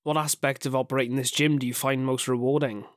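Male interviewer, mid-pitched, reading prepared questions, faint noise behind. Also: level tone, moderate pace.

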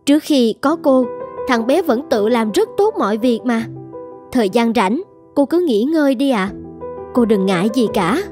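A woman's voice: on the high side, expressive, normal speed, music in the background, close to an everyday voice. A woman playing a firm, polite young mother in a scripted cartoon, reasoning earnestly.

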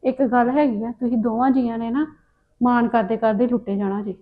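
A stern, meddling auntie presses her advice on a younger woman, played by a woman. The voice is on the higher side and the recording sounds dull and lo-fi. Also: fairly even intonation, brisk, lightly acted. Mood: lecturing. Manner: lecturing, stern. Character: meddling elder woman giving unwanted advice.

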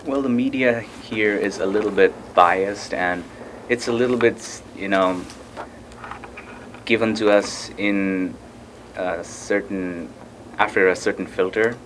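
A man's voice: lowish voice, takes it slowly, slight background noise, poor audio. A young man from Nepal with a Nepali accent, speaking informally in a panel discussion. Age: young adult. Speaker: student on a panel. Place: conference room, indoors.

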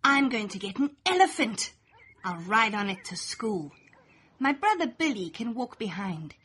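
Female storybook narrator, young adult, high and breathy, slow sing-song read-aloud for kids. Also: sing-song, fairly bright tone. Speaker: storybook reader. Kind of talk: expressive read-aloud children's story in rhyme.

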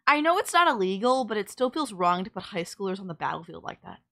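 A woman's voice: medium pitch, big pitch swings, fast, sharp tone. A woman playing a sardonic villain nemesis in an audio roleplay, scolding and teasing the listener in an exasperated but caring way. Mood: indignant.

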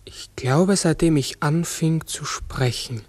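Slow, halting, pensive raven. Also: male voice, fairly high pitch, a little mellow, no echo. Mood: searching, melancholy. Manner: halting, brooding, self-correcting. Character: human-sized talking raven, pensive, uncertain, forgetful. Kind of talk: 1960s German radio drama acting.

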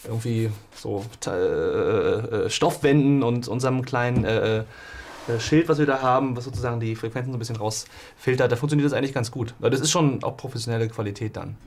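A man of middle pitch explains something in a hotel room, searching for words and filling gaps. His speech is casual and halting. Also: a little mellow. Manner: hesitant, many fillers. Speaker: singer.

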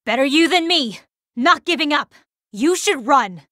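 An offhand, sardonic young female fighter from a video game. Her voice is bright and on the high side, with lively swings across short, unhurried lines. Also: no room sound, clean studio sound. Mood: wry, offhand. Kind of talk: short battle voice clips.

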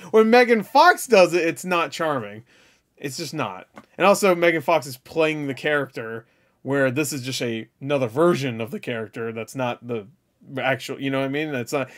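A man hosting a reaction video with casual, profane, blunt and jokey commentary. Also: a little high.

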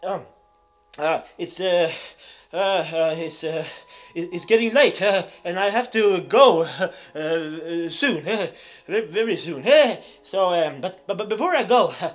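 A man's voice: high voice, lively intonation, measured pace. A man playing an aging circus clown in a dramatic monologue, nervous and eager, with a heavy stammer and frequent nervous giggling. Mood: hesitant, defensive. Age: older.

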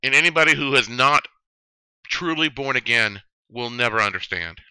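A man in his late thirties giving a solemn religious testimony, slow and preaching, with sudden stressed words. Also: medium pitch, lively intonation, sharp tone, clean tone, dry recording, poor audio. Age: 30s. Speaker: video testimony speaker. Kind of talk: earnest religious testimony monologue.

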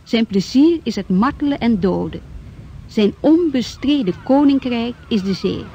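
A woman's voice: a little high, expressive, unhurried, dark tone. A woman in a Dutch radio drama playing a grim, solemn narrator with a declamatory reading style. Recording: dull sound with faint noise.

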